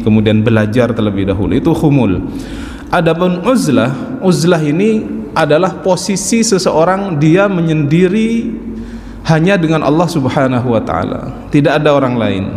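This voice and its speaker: 40s guy teaching religion in a mosque, calm, says "ya" a lot, big echoey hall. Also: medium pitch, normal speed, a little hiss or hum. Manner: calm explaining, frequent affirming "ya". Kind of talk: mosque study-circle lecture.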